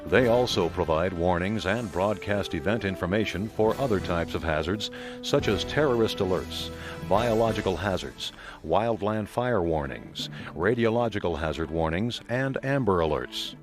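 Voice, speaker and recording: A man's voice: deep voice, animated, moderate pace. A man reading scripted informational narration. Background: music.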